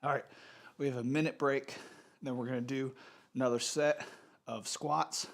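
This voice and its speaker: A man's voice: mid-range voice, fairly even intonation, moderate pace, gravelly. A man with a stuffy, congested voice coaching a live at-home workout in his home gym and cueing sets and reps while he trains. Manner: cues sets and reps while exercising himself. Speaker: fitness instructor. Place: home gym in a converted bedroom.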